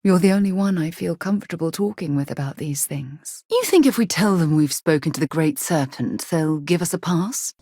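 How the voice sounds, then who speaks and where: An actress playing a principled, earnest ex-military space explorer in a video game, articulate and expressive. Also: female voice, low voice, moderate pace, few pauses, husky, no echo, clean studio sound. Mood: earnest, sincere. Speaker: companion character in a space game.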